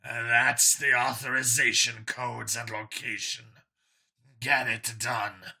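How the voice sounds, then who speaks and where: A man voicing an irate, contemptuous dragon overlord in an audiobook, giving orders slowly and imperiously. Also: medium pitch, little pitch movement, gravelly, sharp tone, husky, exaggerated voice. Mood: curt. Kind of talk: audiobook character voice.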